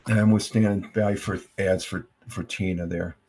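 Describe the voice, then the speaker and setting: A man's voice: sits low, steady tone, moderate pace, darkish tone, husky. An older American man hosting a casual livestream chat, rambling with many fillers and restarts. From United States. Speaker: livestream host.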